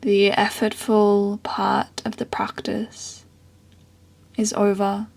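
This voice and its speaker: A woman leading a guided meditation as a yoga teacher, speaking softly and breathily in a soothing way with long pauses. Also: medium pitch, monotone, vocal fry.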